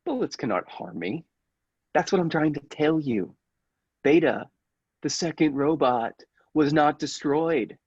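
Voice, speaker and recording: A man playing a megalomaniac robot who passes for human, a coldly menacing villain speaking formally and deliberately in a classic radio play. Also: fairly high pitch, animated, a little mellow, breathy edge, no room sound, lo-fi sound, exaggerated voice. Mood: gloating, menacing.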